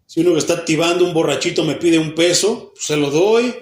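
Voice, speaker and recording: Mexican pastor in an echoey church hall. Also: male voice, a little high, fairly quick. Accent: Mexican Spanish. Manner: asks the congregation questions and answers them himself; acts out the people he quotes, even mock babbling. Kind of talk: Bible study sermon.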